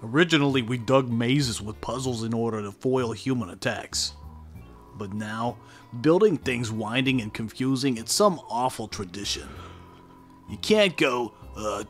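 A man's voice: mid-range voice, lively intonation, unhurried, fairly bright tone. Casual male co-player, joking read of on-screen text, faint game music. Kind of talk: casual gaming chat.

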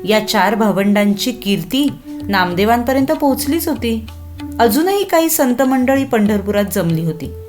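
A woman's voice: a little high, lively intonation, normal speed, a little mellow, soft background music. A woman narrating a scripted children's story expressively, addressing young listeners with questions.

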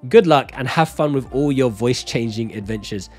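A man's voice: mid-range voice, normal speed, a little mellow. Emphatic man presenting over music. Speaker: host of a software tutorial channel. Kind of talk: scripted upbeat video tutorial narration. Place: small home-style studio, indoors. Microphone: close desk microphone. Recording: background music.

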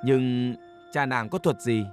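An actor playing a scheming young prince who coaxes his wife in an animated historical drama. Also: mid-range voice, slow, faint music behind, close to an everyday voice. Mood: coaxing, sly. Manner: coaxing. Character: young prince, smooth-talking, scheming husband.

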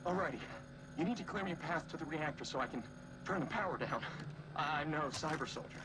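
An actor playing a nervous, excitable nerdy engineer sidekick in a video game, jittery and hesitant. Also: male voice, fairly high pitch, brisk, noisy background, close to an everyday voice. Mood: uneasy. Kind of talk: video game character acting.

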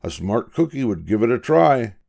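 An older man voicing a grumpy middle-aged husband who talks gruffly. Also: medium pitch, sing-song, normal speed, a little mellow, voice pushed up.

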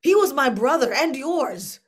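A woman's voice: high voice, expressive, a little slow. An actress playing a defiant, anguished young woman in a Greek tragedy, reading dramatically on a video call. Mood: urgent, challenging. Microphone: computer mic on a video call.

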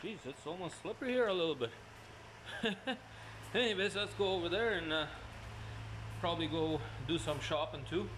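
A man in his thirties from Bolivia, vlogging about his truck in a relaxed, rambling way with lots of fillers, filmed on an action camera at arm's length outdoors. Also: high voice, normal speed, pauses often. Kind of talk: casual vlog. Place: outdoors by a truck on a sunny street, also in a car. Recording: outdoor street noise.

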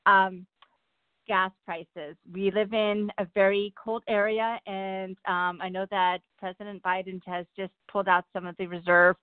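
A woman's voice: medium pitch, little pitch movement, unhurried, clear, resonant tone. A woman calling in to a town hall, chatty and a bit apologetic, with many fillers. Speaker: caller. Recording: dry, tinny phone line.

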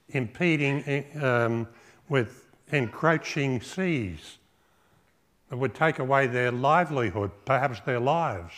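An older man preaching a sermon in a small church hall, measured and reflective, posing rhetorical questions and listing virtues one by one. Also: medium pitch, a bit bright. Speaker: pastor. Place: small church hall with drum kit, indoors.

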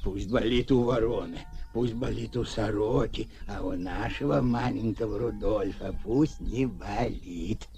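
A man voicing a kindly, learned old bearded gnome in an animated film, speaking in a measured, fussing way. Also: on the low side, gravelly. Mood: tender, protective. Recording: noisy old film soundtrack.

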